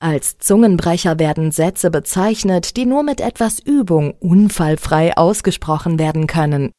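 A woman giving a speech training lesson, talking in a clear, deliberate, carefully articulated way. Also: fairly low pitch, dark tone, no echo, studio quality. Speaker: speech coach. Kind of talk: instructional speech exercise tutorial.

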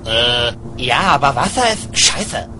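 A man voicing a dull, crude, bossy teenage boy slacker in an animated comedy dub, with slow-witted, drawn-out hesitations and a dismissive manner. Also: mid-range voice, noisy background.

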